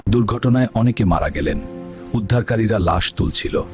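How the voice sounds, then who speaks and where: A man's voice: on the low side, animated, normal speed. A man reading a scripted, dramatic story as narrator. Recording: loud background music.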